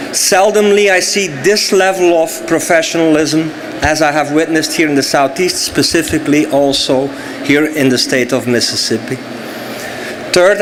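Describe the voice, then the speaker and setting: Belgian man, Dutch native, formal sponsor remarks, higher-pitched, noisy recording. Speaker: sponsor speaker. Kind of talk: formal speech at an event.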